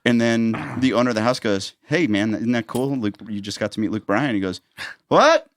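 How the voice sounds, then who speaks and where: A man hosting a podcast, telling relaxed anecdotes and acting out the dialogue in them. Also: mid-range voice, brisk, no room sound, studio quality. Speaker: podcast host. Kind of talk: casual podcast chat.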